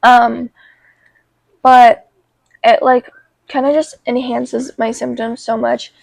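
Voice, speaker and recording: A young woman vlogging casually about her life, congested with a cold, talking in an informal, halting way. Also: fairly high pitch, level tone, creaky, no room sound, rough recording. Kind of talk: casual chat to camera.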